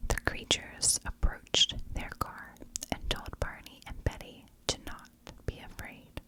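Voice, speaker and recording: A woman narrates a story as an ASMR host, entirely in a whisper. Her delivery is very slow and deliberate, each phrase set down in a hushed, unvoiced breath. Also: low-quality recording. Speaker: ASMR true crime host.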